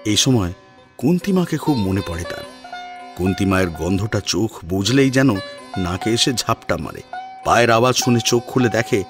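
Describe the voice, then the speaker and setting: Expressive man narrating a story. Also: medium pitch. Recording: soft music under narration. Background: sitar music.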